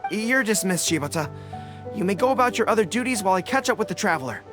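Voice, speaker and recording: A man's voice: high voice, lively intonation, fast. A man playing a dutiful young army general in a video game, speaking formally and politely with a commanding manner. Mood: brisk, formal. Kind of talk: English video game voice acting. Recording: background music under the dialogue.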